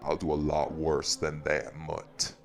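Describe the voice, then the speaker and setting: Man playing very low-voiced vampire boss, gruff, stern, hot-tempered, audio drama, loud music underneath. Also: completely flat delivery, a little slow. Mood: menacing anger. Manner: gruff, profane, commanding. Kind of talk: audio roleplay drama acting.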